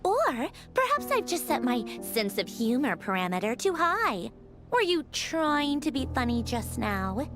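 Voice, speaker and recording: Mocking, swooping robot villainess. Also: female voice, sits high, big pitch swings, unhurried, over music. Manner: haughty, taunting, playful. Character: mocking, bored, theatrical female robot villain, sadistically amused. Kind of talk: video game voice acting.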